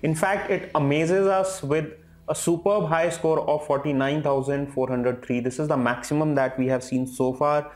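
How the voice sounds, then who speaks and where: Higher-voiced man reviewing gadgets. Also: fairly even intonation, moderate pace, hardly any pauses. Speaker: tech reviewer. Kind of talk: product review commentary.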